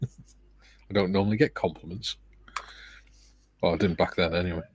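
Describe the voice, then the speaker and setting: A middle-aged man hosting a relaxed livestream, reading viewer comments aloud with a soft, soothing, self-deprecating manner and frequent laughs. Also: sits low, normal speed, breathy edge, no room sound. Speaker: livestream host.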